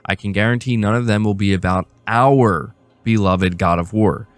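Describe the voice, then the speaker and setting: Low-voiced man giving gaming news commentary. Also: normal speed, no room sound. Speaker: host of a gaming news channel. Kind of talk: gaming news commentary, part read out, part casual opinion.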